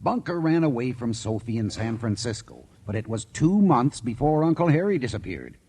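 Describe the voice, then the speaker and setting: A folksy small-town sheriff in a 1940s radio play. His mid-pitched voice is husky and animated. He talks plainly and dismissively. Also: normal speed. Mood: persuasive, weary. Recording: old radio drama recording.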